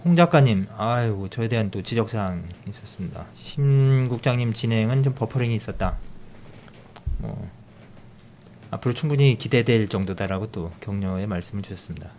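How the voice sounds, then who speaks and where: A middle-aged man hosting a casual podcast. He talks in a halting way with many fillers and restarts, reading out listener comments and news. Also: on the low side, gravelly, a little mellow, slight background noise. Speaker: podcast host.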